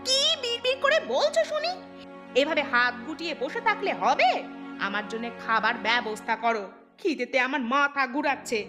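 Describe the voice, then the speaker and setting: A woman's voice: sits high, sing-song, normal speed, music in the background. A woman playing a gluttonous, quarrelsome wife in a cartoon, nagging and melodramatic. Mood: demanding.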